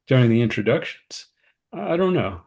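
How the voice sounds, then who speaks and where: An actor reading a reserved, uneasy American man at a screenplay table read, hesitant and understated, with a creaky voice. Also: mid-range voice, sing-song, fairly quick, a little mellow. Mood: reluctant. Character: David, reserved, uneasy, self-deprecating American male cousin.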